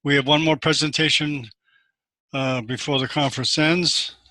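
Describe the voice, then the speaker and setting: A male host of a live conference broadcast, speaking slowly and deliberately. His voice is bright, a bit higher than most men's, and the sound is dry. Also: steady tone, dry recording.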